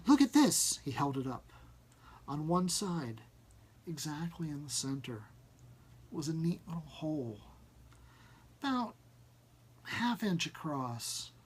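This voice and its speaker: A man narrating a first-person short story, reading in an expressive, measured way. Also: fairly high pitch, breathy edge. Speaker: audiobook narrator.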